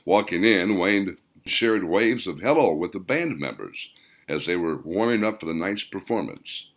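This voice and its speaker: Man, audiobook narrator, low voice, very animated intonation, scripted storytelling. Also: unhurried. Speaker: author narrating own audiobook.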